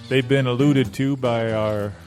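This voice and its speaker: A man's voice: unhurried, natural voice. A man improvising a folksy preacher who deadpans hellfire and used car deals in a parody radio ad. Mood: righteous selling. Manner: homespun, deadpan mix of sermon and sales pitch. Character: folksy fire-and-brimstone Baptist preacher selling used cars. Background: guitar music.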